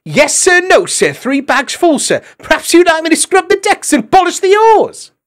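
A man's voice: very animated, moderate pace, a bit bright. A man voicing a prim young female hedgehog maid who scolds and bristles easily. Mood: shrill. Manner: prim, scolding, shrill when angry.

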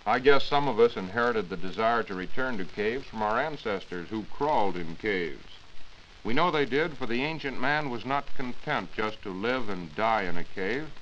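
A man's voice: fairly low pitch, lively intonation, normal speed, fairly bright tone. Formal man on muffled, hissy old radio. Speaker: science reporter. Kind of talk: scripted 1940s radio science talk.

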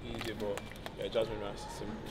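A man's voice: fairly low pitch, monotone, fast talker, very noisy. A young man vlogging casually in a supermarket, cheeky and jokey, with a bit of swearing. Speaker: bodybuilder vlogger. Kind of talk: casual vlog walkthrough.

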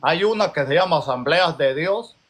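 Emphatic high-voiced man. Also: animated, moderate pace, a bit bright, slightly rough. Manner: emphatic, insistent. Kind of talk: testimony in a debate.

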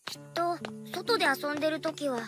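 A woman voicing a gentle, earnest little boy with a thoughtful, soft-hearted manner in a children's cartoon. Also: high voice, normal speed, natural voice. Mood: wistful. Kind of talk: children's animated series dub acting. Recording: music behind the voice.

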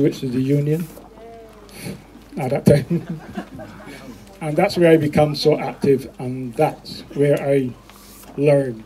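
An older man with a Barbadian accent, born in Barbados, giving a speech to an outdoor rally through a handheld microphone and loudspeaker, addressing the crowd with pauses and restarts. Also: fairly high pitch, a little hiss or hum, poor audio. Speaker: rally speaker.

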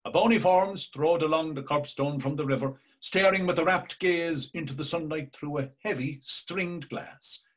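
An older man narrating a novel in a measured, deliberate way over a video call. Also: on the high side. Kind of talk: scripted literary narration. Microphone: webcam on a video call.

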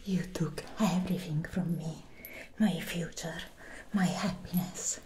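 A woman's voice: lowish voice, steady tone, measured pace, warm tone, a little hiss or hum, close to an everyday voice. Woman playing a whispering, defiant captive vampire hunter. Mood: bitter accusation. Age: young adult. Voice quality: breathy whisper. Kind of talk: soft-spoken ASMR roleplay.

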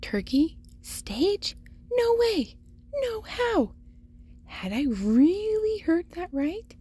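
A woman voicing an excitable, boastful male turkey in a children's storybook, with dramatic, exclamatory delivery. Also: very high voice, drawn-out words, voice pushed up, exaggerated voice. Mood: panicked, indignant. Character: excitable, boastful turkey telling his school escape tale.

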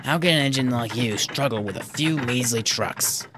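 A man's voice: mid-range voice, moderate pace, lightly acted. Man playing a boastful, sneering steam engine, noisy recording. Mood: smug, mocking. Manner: haughty, huffy, bragging. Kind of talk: children's storybook character voice.

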